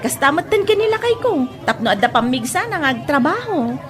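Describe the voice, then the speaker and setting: Nosy, frank Ilocano village mother female actor, high voice, gossipy and exclaiming, for comedy drama. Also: sing-song, fairly quick, fairly bright tone, some chatter behind. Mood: chatty.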